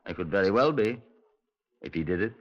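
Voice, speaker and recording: Low-voiced, measured police detective. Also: male voice, lively intonation. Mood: probing. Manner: measured, matter-of-fact, clipped questioning. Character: composed, probing male police detective narrating his case. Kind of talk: 1950s radio crime drama narration. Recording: dull lo-fi 1950s radio recording.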